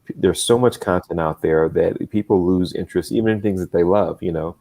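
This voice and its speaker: A man with a very low, deep voice talks through his thinking in a casual discussion. He restarts his sentences often and picks his words with care. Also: vocal fry, mellow. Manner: halting, restarts often, measured. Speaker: comics creator.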